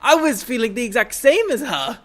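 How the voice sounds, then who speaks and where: Male guest, high voice, short laughing replies, casual chat. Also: very animated, fairly quick, a bit bright.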